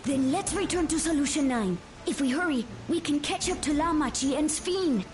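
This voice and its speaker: Very high female voice, young compassionate game heroine, earnest, urgent, light music behind. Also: animated, normal speed. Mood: determined. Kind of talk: dramatic video game acting.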